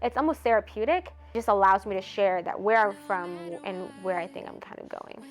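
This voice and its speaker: A woman's voice: medium pitch, lively intonation, brisk. An eighteen-year-old woman from Ethiopia, a college student and singer-songwriter, talking earnestly in a casual interview, full of "like" fillers. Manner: earnest, chatty, many "like" fillers.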